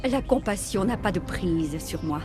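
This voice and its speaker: A woman's voice: on the low side, lively intonation, a little slow. A woman playing a proud alien matriarch in a video game, solemn and grandiose. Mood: cold, imperious. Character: proud, imperious alien matriarch, cold and fervent. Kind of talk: dubbed video game dialogue. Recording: loud music behind the voice.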